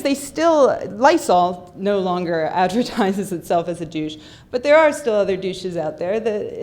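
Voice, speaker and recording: A woman's voice: medium pitch, darkish tone. Wry woman giving a comic talk on stage. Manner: sarcastic and wry, with frequent ums and repeated words. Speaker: public speaker. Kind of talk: humorous skeptical conference talk. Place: large lecture hall stage. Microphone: handheld or stand microphone.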